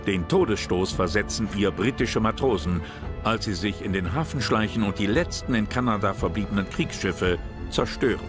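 A man with a low voice narrates a scripted history documentary. His delivery is measured and serious. Music plays clearly behind him. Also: animated. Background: background music.

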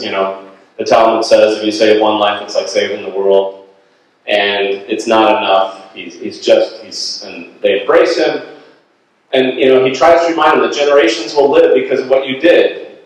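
A middle-aged man giving an informal slide presentation in an earnest, halting way, thinking aloud as he goes. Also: lowish voice. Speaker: guest speaker, nonprofit director. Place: indoor meeting hall. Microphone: handheld microphone through room speakers. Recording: very echoey room, distant sound.

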